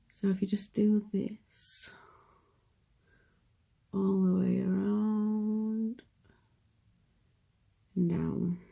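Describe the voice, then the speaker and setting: Young woman, sniffly with a cold, gravelly, lowish voice, casual tutorial chat, slips into whispers. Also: mellow. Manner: chatty, self-deprecating, drops into whispers while working. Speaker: makeup tutorial vlogger.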